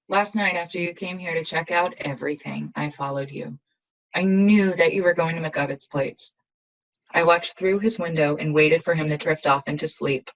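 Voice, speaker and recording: A woman's voice: low-pitched, muffled recording. A woman playing a resolute young rebel assassin from a dystopian future in an audio drama, speaking in an earnest, intense way. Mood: confessional.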